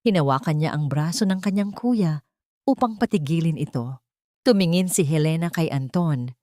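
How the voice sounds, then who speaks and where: A woman narrating a scripted drama story in a measured, emphatic, theatrical way. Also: deep voice, expressive, dark tone, no room sound, studio quality.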